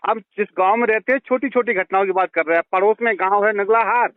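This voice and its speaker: Male villager, high voice, western Uttar Pradesh accent, insistent and pleading, heard over a narrowband phone line. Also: quick pace, no room sound. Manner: pleading, insistent, deferential, repeats himself. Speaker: villager on a phone call to his MP. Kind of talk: heated phone conversation. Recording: tinny narrowband phone call recording.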